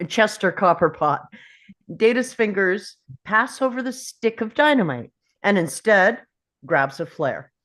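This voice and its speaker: A woman's voice: lowish voice, a bit bright. A woman reading out the stage directions as narrator at a table read of a film script.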